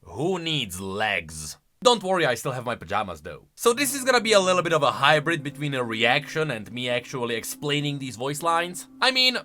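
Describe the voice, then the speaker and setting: A man's voice: medium pitch, very animated, moderate pace, pushed and bright. A man giving casual, playful commentary as a streamer, explaining and reacting to video game lore with emphatic delivery.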